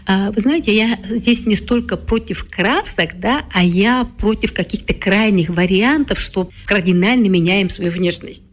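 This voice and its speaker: A woman in her forties, a guest expert, explaining her views in a measured, lecturing way with frequent pauses and "right?" tags. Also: mid-range voice. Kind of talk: explanatory talk-show discussion.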